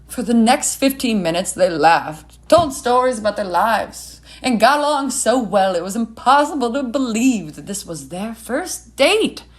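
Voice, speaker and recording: Animated woman narrating a comic story. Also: a little high, measured pace, hardly any pauses.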